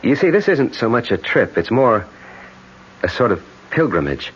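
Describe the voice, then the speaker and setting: An actor playing an uneasy American man, hesitant and halting, in a 1970s radio drama. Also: medium pitch, very animated, natural voice. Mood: evasive, subdued. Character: uneasy, hesitant American male visitor, evasive. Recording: dull, noisy 1970s radio broadcast.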